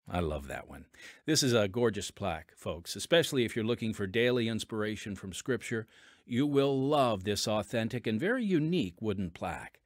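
A man's voice: mid-range voice, no echo. A man hosting and narrating a scripted radio podcast, addressing listeners earnestly and directly. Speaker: podcast host. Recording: dry studio with music bed.

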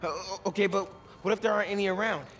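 An American actor voicing an eager, awkward, excitable teenage boy in a video game, sometimes halting. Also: sits high. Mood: unsure, awkward. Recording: noisy city ambience behind voice.

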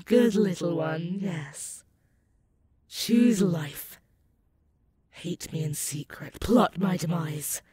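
A woman's voice: sits low, mellow, a little hiss or hum. A woman playing an ancient, sadistic and possessive female werewolf demon in a roleplay audio, purring menace and mocking pet names at the listener. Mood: smug, coaxing. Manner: slow, teasing, purring menace with mocking endearments.

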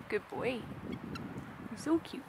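A woman's voice: fairly high pitch, gravelly. A woman talking casually and affectionately to wild birds while she films in the woods. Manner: affectionate pet-talk. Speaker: birdwatching vlogger. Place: outdoors in snowy woods. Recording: outdoor camera audio with background noise.

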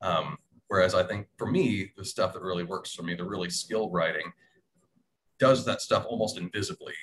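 A man's voice: low-pitched, normal speed, fairly bright tone. A middle-aged man speaking thoughtfully as a panelist in an unscripted discussion on a video call, acting out imagined asides. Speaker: panelist, audio drama writer. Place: small room at home, indoors. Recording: noisy video-call audio.

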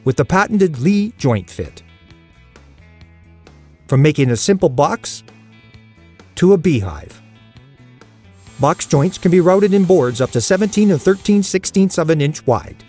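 Polished male promo voice, lively pitch. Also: a little slow, long pauses, husky. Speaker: commercial announcer. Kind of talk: product promo voice-over. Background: faint music.

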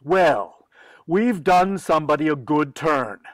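A man's voice: on the high side, lively intonation, slow, no echo. A man playing a flustered, exasperated father with a fussy manner in an old-time radio comedy. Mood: patient, coaxing. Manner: fussy, stammering when flustered.

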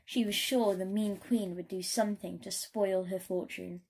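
A woman storyteller giving an expressive read-aloud of a children's story. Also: medium pitch, moderate pace, husky, rough recording.

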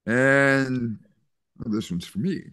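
An older man voicing a kind reverend, speaking gently with hesitant fillers over a video call. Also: mid-range voice, slow pace, dry recording, studio quality. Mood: gentle. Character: kindly older male reverend. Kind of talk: Zoom play reading.

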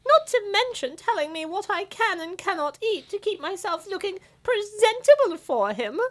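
A woman's voice: extremely high pitch, very animated, normal speed. A woman voicing a fed-up, sassy British girlfriend in a comic dub, complaining theatrically. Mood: indignant. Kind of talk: comic dub character acting.

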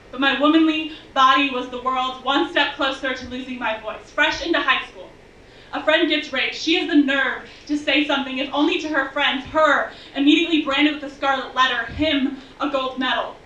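A young woman performing spoken-word poetry on a bar stage, with measured, intense, rhythmic delivery. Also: sits high, sharp tone, a little hiss or hum. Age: young adult. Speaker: slam poet. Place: bar stage venue, indoors. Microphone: stage mic on stand, filmed from afar. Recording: distant, dull, echoey room recording.

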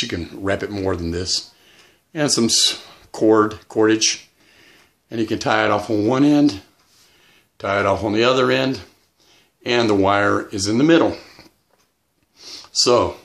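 A middle-aged man giving a casual, plainspoken how-to at home, pausing often with "uh". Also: lowish voice, a bit bright. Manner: plainspoken, with frequent restarts and "uh" pauses. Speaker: radio hobbyist demonstrating antennas. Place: indoors at home.

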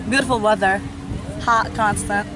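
A woman's voice: medium pitch, steady tone, moderate pace, crowd noise. A young woman with a Lebanese accent, chatting in a bubbly, giggly way on a beach, filmed from a few feet away. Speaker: friend. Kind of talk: casual chat. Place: outdoors on a beach. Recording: noisy outdoor sound.

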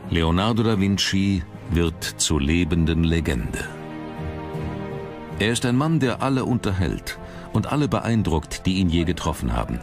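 A man reading scripted narration for a documentary as its narrator. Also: low-pitched. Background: background music.

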